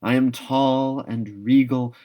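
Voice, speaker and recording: A man's voice: medium pitch, steady tone, takes it slowly, dark tone, pitched above the performer's own voice, natural voice. A man voicing a dreamy, chattering young orphan girl in a storybook read-aloud, gushing and wistful. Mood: grandly imaginative.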